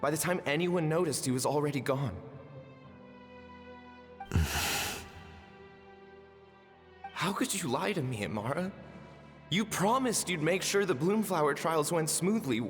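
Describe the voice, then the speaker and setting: Man acting earnest wounded brother, lively higher voice. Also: moderate pace, pauses often, lightly acted. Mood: hurt, accusing. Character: earnest, wounded younger brother, loyal and righteous. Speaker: voice actor in a video game. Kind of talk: dramatic game dialogue. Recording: long reverb, music underneath.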